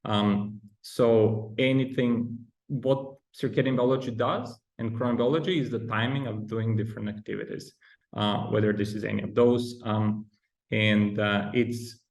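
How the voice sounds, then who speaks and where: A man with a Bulgarian accent talks as a podcast guest in a fairly low voice. He explains things carefully, pausing on ums and restarting his sentences. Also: vocal fry, a little mellow. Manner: hesitant, many ums and restarts. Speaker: guest researcher. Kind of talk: podcast interview.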